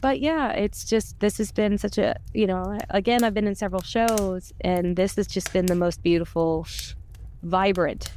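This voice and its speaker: A woman's voice: mid-range voice, steady tone, normal speed, darkish tone, slight background noise. A woman chatting casually and informally about a podcast project, in a gushing, talkative way. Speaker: podcast cast member and volunteer. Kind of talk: casual chat.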